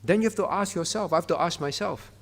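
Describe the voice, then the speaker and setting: A man's voice: high-pitched, quick pace, gravelly. A male pastor, preaching a sermon in a conversational way and often turning to the congregation. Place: church hall. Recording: reverberant church hall.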